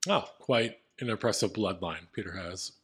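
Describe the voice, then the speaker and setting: A man's voice: low-pitched, normal speed, a bit bright, husky. A man co-hosting a podcast in casual, joking banter that can turn profane. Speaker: podcast co-host.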